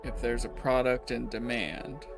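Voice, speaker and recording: Man playing a creaky, gruff, cagey bar owner. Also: mid-range voice, fairly even intonation, unhurried. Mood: cagey, bargaining. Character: cagey, haggling male bar owner with a grudge. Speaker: game master or player voicing a character. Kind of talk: improvised tabletop roleplay. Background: background music.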